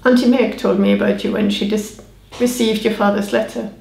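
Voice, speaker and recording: A woman reading aloud as a brooding Highland man who speaks coldly and mockingly, in a creaky voice and an echoey room. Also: steady tone, a little fast, mellow, lightly acted.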